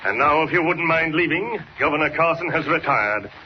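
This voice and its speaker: Casting: man to play a coolly polite, evasive official gatekeeper for vintage radio drama, lightly pitched, slight background hiss. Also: lively intonation, moderate pace, natural voice. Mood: coolly dismissive. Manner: formal, hesitant, aloof. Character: stiff, evasive governor's secretary, coolly polite. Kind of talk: 1940s radio acting. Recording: muffled, faintly noisy old radio recording.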